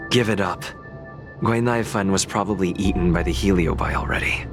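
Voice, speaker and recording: A man's voice: low voice, normal speed, natural voice. An actor playing a cold, dismissive man in a video game, curt and scornful. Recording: loud music behind the voice.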